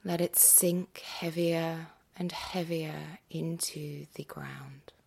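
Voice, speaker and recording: A woman guiding a yoga nidra meditation in a hushed, soothing way, gently and unhurriedly, with a breathy, husky voice that often nears a whisper. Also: sits low, fairly even intonation. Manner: gentle, unhurried, with long pauses.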